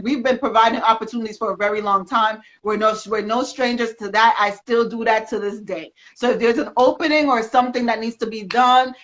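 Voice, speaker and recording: A woman giving energetic, rambling encouragement in a casual live video chat, speaking as a magazine representative. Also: fairly high pitch. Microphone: video call. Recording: dull lo-fi sound.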